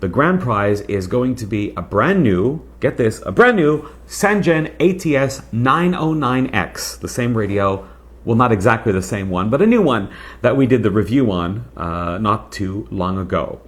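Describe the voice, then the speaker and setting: A man with a fairly low voice presents a product review as the host. His delivery is casual and conversational, with emphatic points addressed straight to the viewer. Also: animated, measured pace.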